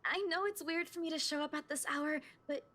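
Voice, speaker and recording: A young woman from a visual novel game. Her voice is extremely high, and she speaks timidly, with a halting, shaken and apologetic manner. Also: fairly even intonation, bright, forceful tone.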